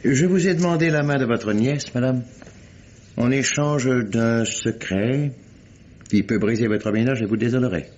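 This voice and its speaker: An actor playing a menacing aristocratic count, haughty and deliberate, in old French radio drama style. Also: male voice, mid-range voice, a little mellow, close to an everyday voice. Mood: smooth menace. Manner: haughty, theatrically deliberate, formal. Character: menacing aristocratic count, a cold blackmailing suitor. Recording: dull lo-fi vintage radio recording.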